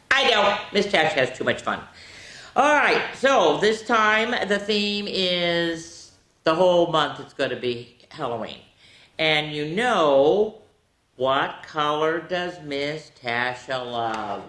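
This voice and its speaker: A woman with a slightly low voice reads to children in a roomy, echoing space. Her delivery is playful and theatrical, swinging widely in pitch. She stretches out story words and chats casually between them. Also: fairly bright tone. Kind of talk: animated picture-book read-aloud with casual asides. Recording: echoey, roomy sound.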